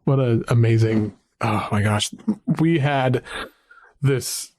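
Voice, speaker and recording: A man hosting a music podcast, chatting casually with a deep voice, rambling with fillers and restarts. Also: slow pace, a little mellow, dry recording. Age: adult, a parent. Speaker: podcast host. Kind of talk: casual conversation about music.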